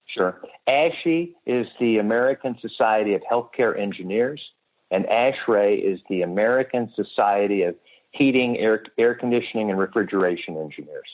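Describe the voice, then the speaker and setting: A man explaining technical matters as a guest expert in a podcast interview, in a measured, careful way. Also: on the low side. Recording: muffled phone-like line.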